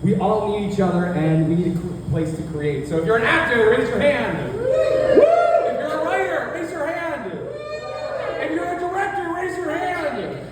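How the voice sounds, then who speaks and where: A man's voice: extremely high pitch, sing-song, moderate pace, recorded from a distance. A man hosting a live panel, addressing the audience in an indoor public venue. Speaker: panel host. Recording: echoey hall from afar, loud crowd noise.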